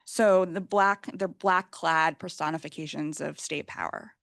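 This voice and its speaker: A woman speaking as a panelist, measured and pointed. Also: deep voice, creaky, fairly bright tone, no room sound, clean studio sound. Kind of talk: panel discussion.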